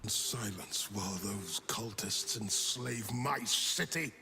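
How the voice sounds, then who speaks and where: A man's voice: on the low side, slow pace, vocal fry, pushed and bright, slight background noise, heavily acted. An actor playing a weary, solemn nobleman in a dramatic video game scene. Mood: solemn, grateful. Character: weary rescued nobleman grateful to his savior, solemn. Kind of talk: dramatic game cutscene acting.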